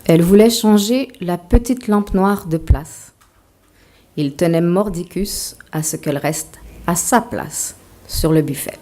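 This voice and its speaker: A woman's voice: lowish voice, measured pace, warm tone. A woman author giving a talk to an audience, mixing a prepared lecture with playful, self-mocking asides.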